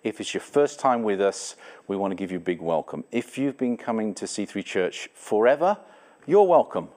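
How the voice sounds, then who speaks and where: A man's voice: fairly low pitch, lively intonation, moderate pace, a little mellow. A man with an English accent hosting a church talk, welcoming people in a loose way with lots of fillers. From England. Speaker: church host.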